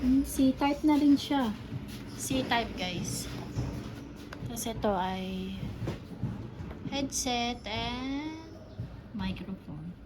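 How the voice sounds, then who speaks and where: Higher-voiced Filipino woman over noisy ambience. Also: very slow, pauses often. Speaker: vlogger unboxing and testing gadgets. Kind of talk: casual chat and demonstration. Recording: clearly noisy background ambience.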